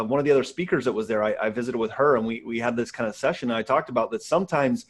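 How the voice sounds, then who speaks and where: A man hosting a podcast, chatting casually in an earnest, emphatic way and speaking directly to listeners. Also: mid-range voice. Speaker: podcast host. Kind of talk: casual interview chat.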